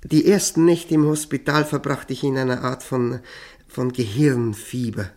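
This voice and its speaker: A man's voice: medium pitch, fairly even intonation, normal speed, darkish tone, lightly acted. A man acting a courteous, nervous former prisoner in a radio play, speaking hesitantly and reflectively with formal politeness. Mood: confiding, reflective. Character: haunted Austrian lawyer, former Gestapo prisoner, courteous and nervous. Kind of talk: German radio play acting, confiding narration.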